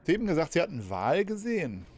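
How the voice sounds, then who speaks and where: A man's voice: fairly high pitch, sing-song, rapid-fire, a little mellow. A German man giving casual live commentary as he plays a game. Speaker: streamer reacting to a game.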